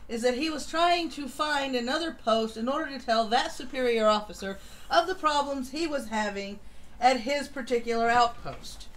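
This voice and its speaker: A woman's voice: a little high, normal speed. A woman hosting a live stream, giving casual news commentary in a blunt, sardonic way. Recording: live stream with clear background noise.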